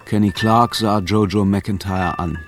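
A man's voice: on the low side, steady tone, measured pace. A man narrating a radio play in a scripted, dramatic storytelling style, with music under his voice.

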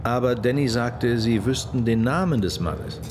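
An actor playing a methodical, persistent police detective questioning a witness in a matter-of-fact way in a German radio crime drama. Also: male voice, medium pitch, normal speed, noisy background, natural voice. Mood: probing, insistent.

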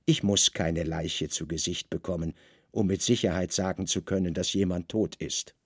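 A man playing a grave, calculating Roman secret service chief who speaks calmly, deliberately and deadpan in a literary radio drama. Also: low voice, breathy edge, no echo, studio quality, pitched below the performer's own voice. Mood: matter-of-fact.

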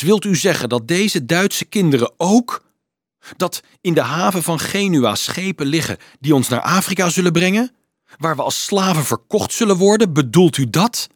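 A man's voice: medium pitch, slightly rough, no echo, clean studio sound. A man voicing an indignant, disbelieving teenage boy who questions insistently in a dramatised audiobook reading. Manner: urgent, insistent questioning, halting with shock.

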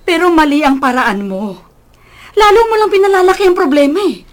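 A woman's voice: very high-pitched, animated, fairly quick. An actress playing a worried middle-aged mother who scolds reproachfully in a Filipino radio drama, with a Filipino accent. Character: worried, reproachful mother defending her son.

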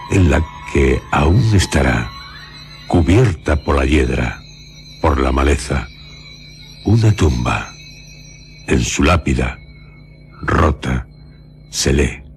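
A man's voice: very deep voice, gravelly. A man narrates horror for radio in an ominous, solemn, theatrical manner, lingering on short phrases. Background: music.

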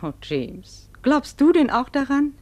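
An actress playing an anxious but steadfast farmer's wife in a 1950s German radio play, with plaintive, emotive delivery. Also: female voice, high voice, very animated, unhurried, mellow. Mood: worried.

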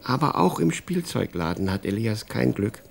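A man's voice: on the low side, big pitch swings, normal speed, vocal fry. A man narrating a children's radio play as a scripted storyteller.

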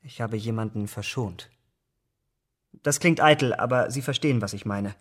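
A man acting a troubled, impulsive young English gentleman in a German radio drama. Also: fairly low pitch, moderate pace. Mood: confessional.

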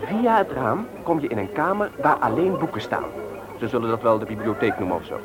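An actor playing a cocksure, bossy young ringleader in a radio play, instructing with firm emphasis. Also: male voice, mid-range voice, very animated, brisk, loud music behind the voice, close to an everyday voice. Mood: confident, instructing.

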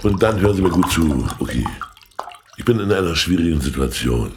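An actor playing a shrewd, blunt state councillor in a radio play, gruff and sardonic. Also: male voice, sits low, animated, brisk, noisy background. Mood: gruff, knowing.

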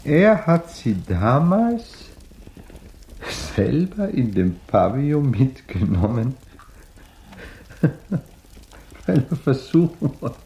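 An actor playing a grave, brooding aristocratic baron in a radio play, refined and halting. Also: male voice, medium pitch, very animated, gravelly, dark tone, heavily acted. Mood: brooding relief. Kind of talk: German radio play acting. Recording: faint hiss, 1980s radio drama.

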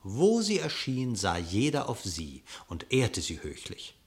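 Expressive male narrator, fairly low-voiced. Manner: expressive, measured storytelling. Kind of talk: scripted literary narration of a verse radio drama.

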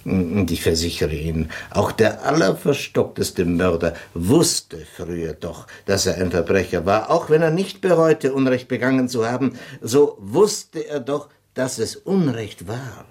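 An actor playing a cynical, mocking former prison doctor in a German radio drama, speaking theatrically and provocatively. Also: male voice, fairly low pitch, very animated, measured pace, few pauses, a little mellow, breathy edge, heavily acted. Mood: gleeful, lecturing. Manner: theatrical, provocative, breaking into chuckles.

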